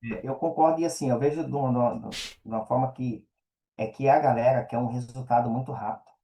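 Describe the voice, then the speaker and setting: A Brazilian man with a warm, dark-toned voice chats as a podcast guest. His delivery is colloquial and earnest. He often repeats phrases to drive them home. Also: medium pitch, steady tone, fast talker, rough recording. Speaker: podcast guest, affiliate marketer and mentor. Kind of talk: casual podcast conversation.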